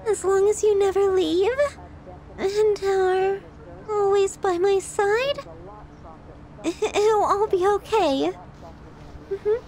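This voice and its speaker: A woman's voice: very high voice, fairly even intonation, a little mellow, low-quality recording. A woman playing a possessive, sadistic yandere girlfriend in an ASMR roleplay, cooing and teasing with sweet menace. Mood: clingy, devoted. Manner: cooing, drawn-out, teasing, clingy delivery.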